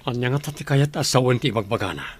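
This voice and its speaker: A man playing a husky worried father. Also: medium pitch, lively intonation, fast, natural voice. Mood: concerned. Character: worried father and estate boss. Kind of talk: radio drama acting.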